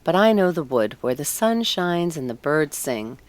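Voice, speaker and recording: A woman's voice: low voice, very animated, normal speed. A woman voicing an anxious, wistful young fir tree in a storybook, earnest and musing. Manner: musing, earnest, self-absorbed. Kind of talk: storybook character voice.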